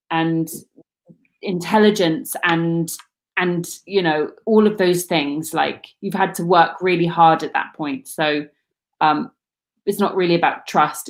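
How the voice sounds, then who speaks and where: A British woman working as a casting director, talking candidly in a casual Q&A with many "like"s and restarts. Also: on the low side. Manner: candid, rambling, lots of "like". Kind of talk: casual Q&A chat.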